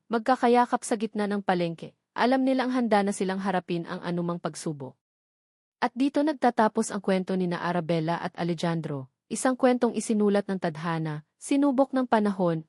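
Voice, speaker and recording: A woman's voice: medium pitch, a little mellow, no room sound, clean studio sound. A woman narrating a romantic drama story from a script in an earnest, sentimental manner. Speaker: narrator of love story channel.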